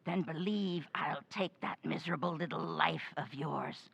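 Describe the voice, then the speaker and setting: Creaky, slow-talking ghostly old woman. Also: sits low, fairly bright tone, highs cut off, full character voice. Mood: commanding, urgent. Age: older. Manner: slow, taunting, commanding, gloating. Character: menacing undead old woman, sly bloodthirsty female ghost. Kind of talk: old-time radio horror acting.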